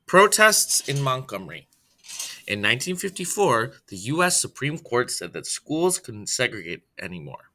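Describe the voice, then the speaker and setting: A man with a very bright, forceful voice reads a children's biography aloud. His pitch sits in an ordinary middle range but moves in a lively way. He takes the text deliberately, one phrase at a time. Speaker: reader, storyteller.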